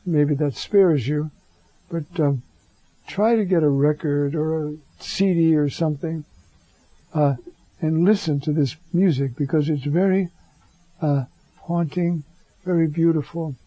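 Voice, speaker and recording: Hoarse priest guy talking real slow, dark mellow voice, dry muffled recording. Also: on the high side, dry recording. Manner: slow and halting, many fillers. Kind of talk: reflective homily.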